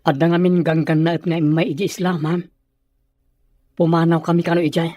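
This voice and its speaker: A man's voice: on the high side, flat delivery, a little fast, mellow, no echo, close to an everyday voice. An actor playing an earnest, defiant young village fisherman, plain-spoken, in Filipino radio drama style. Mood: aggrieved.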